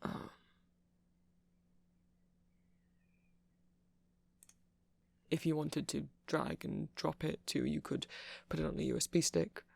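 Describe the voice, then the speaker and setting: A man playing a nerdy, friendly vampire shopkeeper in an ASMR roleplay, soft-spoken and gravelly, rambling hesitantly as he explains tech. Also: on the high side, fairly even intonation, moderate pace, no room sound, exaggerated voice. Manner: rambling, hesitant, self-conscious geeky explaining.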